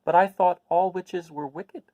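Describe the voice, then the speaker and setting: A man's voice: sits high, steady tone, a little slow, no echo, lo-fi sound, pitched above the performer's own voice. A man voicing an earnest, innocent little girl in a storybook, with a wondering, questioning manner. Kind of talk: storybook character voice.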